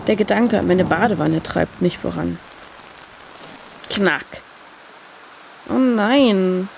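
A woman's voice: fairly low pitch, takes it slowly, creaky, poor audio. Woman narrating a story over rain. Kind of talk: scripted first-person short story narration. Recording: steady rain noise behind voice. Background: rain sound effect.

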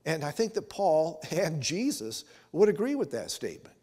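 A man in his sixties with an American accent, preaching and telling stories as a pastor, earnest and given to emphatic pauses, in a church. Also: fairly high pitch, sing-song, dark tone. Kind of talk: sermon with storytelling. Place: church sanctuary, at the altar. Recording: reverberant church hall.

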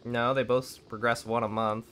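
A young man with a raspy voice from being sick, casually commenting on a strategy game, thinking aloud and clearing his throat often. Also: mid-range voice, little pitch movement, normal speed, a bit bright, a little hiss or hum. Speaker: gameplay commentator. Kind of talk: casual let's play commentary.

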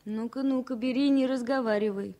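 An actress playing a young, loving and resolute flight attendant in a 1970s Soviet radio play, speaking in a soft, coaxing way. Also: female voice, fairly high pitch, fairly even intonation, fairly quick, natural voice. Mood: affectionate, reassuring.

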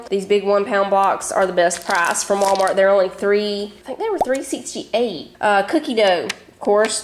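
A woman's voice: medium pitch, background noise. A woman in her thirties with a Southern American accent, chatting casually through a grocery haul in her home kitchen. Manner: chatty, folksy, addresses viewers as y'all. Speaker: vlogger.

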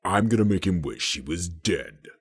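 A masked supervillain with an extremely low voice. It is rough and murky, altered by electronic processing. He speaks slowly and deliberately, cold and full of threat. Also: animated. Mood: cold menace. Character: menacing masked male villain, cold and threatening. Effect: pitch-lowered voice, some with distortion or chorus. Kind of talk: TV supervillain impression.

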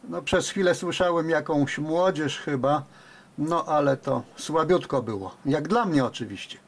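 A man's voice: on the high side, a little slow, noisy background. A man working as a radio operator, giving call-outs and casual commentary a desk indoors. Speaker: amateur radio operator, host.

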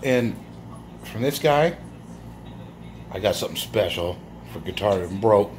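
Man, very low voice, slow and easygoing, rambling with chuckles, faint room hum, handheld phone vlog. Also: lively intonation. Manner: rambling, chuckles often, many fillers. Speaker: toy car collector vlogger. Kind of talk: casual show-and-tell vlog. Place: indoors, filming toys laid on a bedsheet. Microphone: handheld phone camera.

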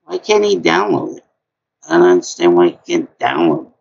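A middle-aged man with a high-pitched voice hosting a live-stream trivia game from a small room at home, talking slowly and repeating himself in a chatty way. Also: huge pitch swings, vocal fry, a little mellow, highs cut off, slight background noise. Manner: slow, repetitive, chatty.

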